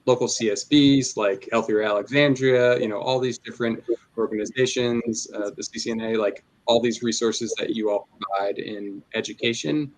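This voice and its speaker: A man's voice: medium pitch, steady tone, normal speed, poor audio. A man giving closing thoughts on a panel, hesitant and full of fillers. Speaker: panel speaker. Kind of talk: panel discussion.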